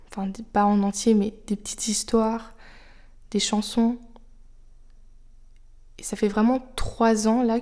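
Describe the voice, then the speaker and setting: A young woman with French as her first language hosts a personal podcast, thinking aloud in a soft, confiding way that sometimes sinks nearly to a whisper. Her voice is warm and mellow and sits at a middle pitch. She sounds reflective and unhurried, like someone sharing her own thoughts with listeners. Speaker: podcast host.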